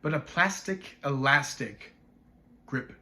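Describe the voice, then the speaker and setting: Man as a deliberate, playful tongue-twister reciter. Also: medium pitch, animated, pushed and bright, full character voice.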